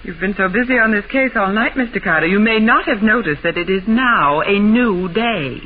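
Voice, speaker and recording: An American woman playing a pert, loyal detective's secretary in a 1940s radio drama, gently teasing in manner. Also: mid-range voice, animated, moderate pace, natural voice. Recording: tinny, noisy old radio recording.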